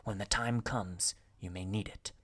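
A man voicing a furtive, intense army deserter in a story, gruff and often whispering, secretive and urgent. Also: mid-range voice, moderate pace, natural voice. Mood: urgent, hushed. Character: furtive, intense soldier deserter posing as messenger.

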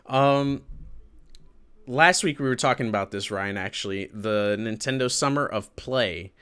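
A man in his thirties hosts a livestream in a loose, colloquial style. His voice sits at a middle pitch. He talks casually to his viewers and reacts to them in an animated way. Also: unhurried, clear, resonant tone. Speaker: livestream host. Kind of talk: casual chat with live viewers. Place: indoor room with green screen.

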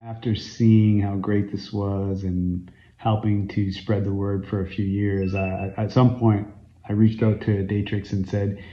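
Canadian guy, 40s, low voice, eager rambling into his phone, echoey room, kinda dull audio. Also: level tone, a little slow. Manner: earnest and enthusiastic, with frequent ums and uhs. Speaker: fan site founder and interviewee. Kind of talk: casual interview talk to camera. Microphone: phone camera at arm's length.

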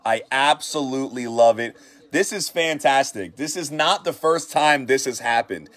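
A man hosting a card reveal from his shop, talking with excited hype and repeating his praise. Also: mid-range voice, normal speed. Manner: hyped, repeats himself. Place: shop desk indoors.

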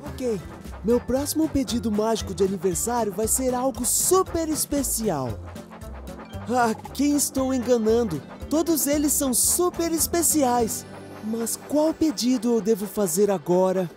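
Eager little boy train, kinda high, brazilian cartoon dub, music behind. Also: big pitch swings, unhurried. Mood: musing. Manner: wide-eyed, earnest, exclamatory. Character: cheerful eager young male toy-like tank engine. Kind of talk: children's cartoon dubbing. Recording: background music under the voice.

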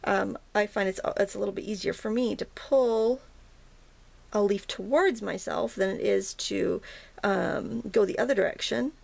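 A woman teaching a watercolor painting tutorial in a chatty, casual way, with many ums and restarts and a slightly hoarse morning throat. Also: medium pitch, moderate pace. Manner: chatty, self-interrupting, frequent ums and restarts. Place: indoors at a painting table.

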